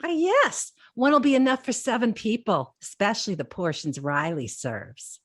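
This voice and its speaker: An actress playing a conniving, adoring working-class wife in old-time radio sitcom style. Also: female voice, on the high side, expressive, moderate pace, breathy edge. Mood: delighted.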